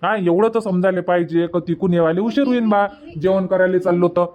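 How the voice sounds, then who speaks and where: Man voicing a high-pitched exasperated cartoon husband. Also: fairly quick, muffled recording, natural voice. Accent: Varhadi Marathi from Vidarbha. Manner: insistent, repetitive, reproachful. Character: exasperated husband and son in a village household. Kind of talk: cartoon comedy dubbing.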